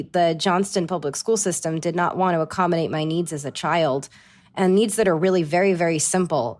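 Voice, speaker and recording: A woman in her twenties from Rhode Island, answering interview questions in an earnest, talkative way with quick self-corrections. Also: fairly low pitch. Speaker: actress and guest.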